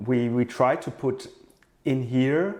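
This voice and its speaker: Halting, thoughtful man explaining a design. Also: mid-range voice, darkish tone. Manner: halting, thoughtful, repeats words while explaining. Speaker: product designer being interviewed. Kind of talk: explanatory interview talk.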